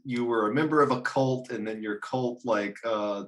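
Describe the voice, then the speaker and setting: Man, medium pitch, casual panel chat, rambling, sweary, self-deprecating. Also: moderate pace, fairly bright tone. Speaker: podcast panelist. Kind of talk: casual chat about games and films.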